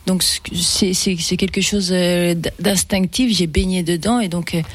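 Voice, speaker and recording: A woman's voice: fairly low pitch, fairly even intonation, moderate pace, husky. A woman singer, a native Fula speaker, talking in a casual interview and often restarting her words. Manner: hesitant, many restarts and repeated words. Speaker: singer guest.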